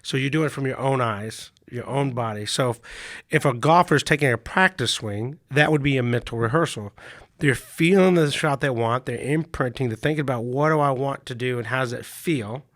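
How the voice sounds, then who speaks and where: A male coach with a hoarse voice from a cold, telling stories in a relaxed chat with frequent restarts. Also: mid-range voice, a little fast, no echo, studio quality. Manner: relaxed storytelling with many restarts. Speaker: mental management coach. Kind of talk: casual podcast chat.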